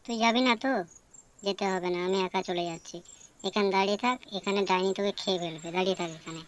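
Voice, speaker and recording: A woman's voice: medium pitch, steady tone, fast talker, close to an everyday voice. Woman voicing a bold, impatient boy, noisy recording. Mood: impatient, insistent. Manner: dismissive, insistent, goading. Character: bold, skeptical, impatient boy coaxing his timid friend. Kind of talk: cartoon story dialogue. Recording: clearly noisy background.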